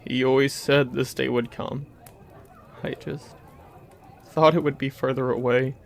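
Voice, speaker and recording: A young man playing a warrior who is grieving his father, speaking in a steady, quietly reassuring way. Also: medium pitch, measured pace, creaky. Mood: somber.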